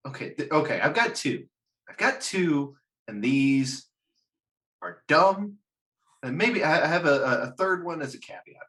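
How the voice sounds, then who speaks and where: A man in casual panel chat, jokey and halting, swearing casually as he thinks aloud. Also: a little high, big pitch swings, a bit bright. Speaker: podcast panelist.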